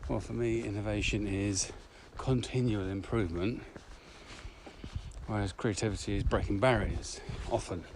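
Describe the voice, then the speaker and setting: A man's voice: fairly low pitch, fairly even intonation, normal speed, darkish tone. A man co-hosting a casual podcast while walking in a field, teasing and dry-humored. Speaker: podcast co-host. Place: open grassy field outdoors. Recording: outdoor noise, birds, wind.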